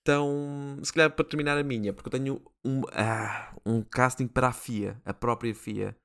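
A man's voice: mid-range voice, fairly even intonation, normal speed, fairly bright tone. A man with a European Portuguese accent, co-hosting a podcast in casual chat, joking and laughing as he steers the topics. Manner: jokey, laughs often, leads the segment. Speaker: podcast co-host.

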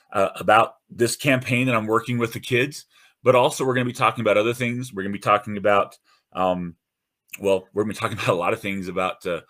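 Deep-voiced earnest male podcast host. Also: a bit bright. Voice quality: deep radio-style voice. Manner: earnest, encouraging, with frequent restarts and ums. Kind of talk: casual podcast monologue.

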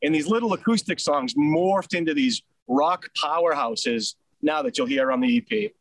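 Here is a man from Boston with that accent, easygoing and chatty as he tells how things came about. His voice sits a little high for a man. The sound is dry and close, with no room around it. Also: lively intonation, moderate pace, dry recording. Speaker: band singer and rhythm guitarist. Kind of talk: casual storytelling in an interview.

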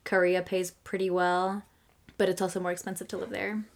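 A woman's voice: fairly low pitch, level tone, fairly quick, creaky. A young woman co-hosting a podcast, chatting casually and rambling with lots of fillers and laughter. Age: young adult. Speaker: podcast co-host. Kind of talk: casual chat.

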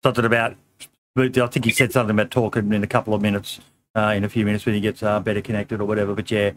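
A middle-aged man hosting a casual podcast, his voice a little hoarse, easygoing and full of ums and "mate". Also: on the low side, flat delivery, racing, fairly bright tone, a little hiss or hum. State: slightly hoarse. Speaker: podcast host.

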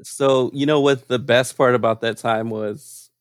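A man's voice: mid-range voice, fairly even intonation, unhurried, smooth, no room sound, clean studio sound. A man in his thirties hosting a casual chat, telling stories playfully, laughing and swearing freely. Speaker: host.

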